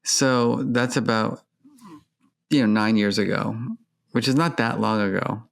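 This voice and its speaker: A man hosting an interview, asking gentle, probing questions in a conversational way. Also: lowish voice, steady tone, unhurried, gravelly. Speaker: podcast host.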